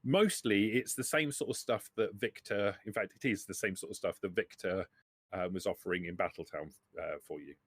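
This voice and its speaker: A man running a tabletop roleplaying game as game master, narrating off the cuff in a halting, deliberate way. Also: deep voice, breathy edge, no echo, studio quality.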